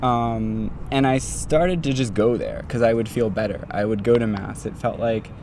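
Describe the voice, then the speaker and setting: A man's voice: lowish voice, normal speed, darkish tone. Rambling young man, street noise behind. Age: 20s. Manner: rambling, many fillers like "like" and "um". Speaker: interviewee, doctoral student. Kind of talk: casual personal storytelling.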